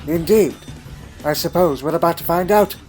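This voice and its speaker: A man's voice: on the high side, moderate pace, darkish tone, soft background music, lightly acted. A man playing a crotchety, dry-witted elderly time traveller in a fan audio drama, fussy and full of questioning hums. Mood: wry, uneasy. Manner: fussy, punctuated with questioning hmms.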